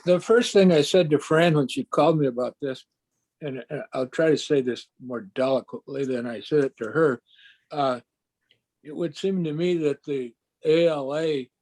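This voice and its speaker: A man on a library board shares his view in a meeting, stumbling through repeated restarts. His voice sits somewhat high for a man. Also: moderate pace, darkish tone, poor audio. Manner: stammering restarts. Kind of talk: meeting discussion.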